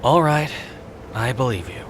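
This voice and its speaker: A man's voice: medium pitch, animated, measured pace, slight background noise, natural voice. A man playing a gloomy, guarded god of death, terse and restrained, in an audio drama. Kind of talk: audio drama character acting. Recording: voice over background music.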